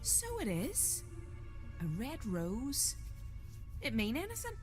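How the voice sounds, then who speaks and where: An actress playing a cheeky, gossipy young village girl in a video game, with a teasing, folksy, expressive manner. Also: high-pitched, slow pace, faint music behind, heavily acted. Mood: curious, romantic. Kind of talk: video game cutscene acting.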